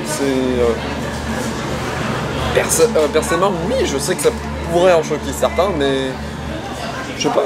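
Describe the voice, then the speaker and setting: A young man with a voice a little on the high side. He speaks to camera in careful, formal phrasing but hesitates often as he searches for words. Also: normal speed, pauses often, loud music behind the voice. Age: young adult. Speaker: vox pop interviewee. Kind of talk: opinion given to camera. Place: indoor hall by large window.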